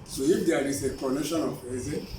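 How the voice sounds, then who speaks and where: A man's voice: fairly high pitch, fairly quick. A man from eastern Nigeria with an Igbo Nigerian accent explains things as an interview guest, using repetitive, emphatic phrasing. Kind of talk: informal explanatory interview answers. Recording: roomy, distant, clearly noisy recording.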